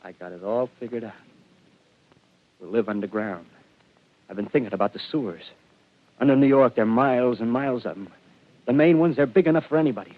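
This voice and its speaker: An actor playing a desperate male ex-militiaman who rambles feverishly about his survival scheme, swinging between hushed and loud. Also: mid-range voice, a little fast, long pauses, natural voice. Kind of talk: 1930s radio drama acting. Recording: dull lo-fi vintage radio broadcast.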